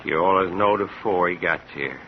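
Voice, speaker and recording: An actor playing a dutiful, guarded backwoods son with a rural American accent and folksy drawl in a vintage radio drama. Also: male voice, sits low, normal speed. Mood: gentle, doubtful. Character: heavy-set, dutiful backwoods son, plain-spoken and guarded. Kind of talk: 1950s radio drama acting. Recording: muffled, hissy vintage radio recording.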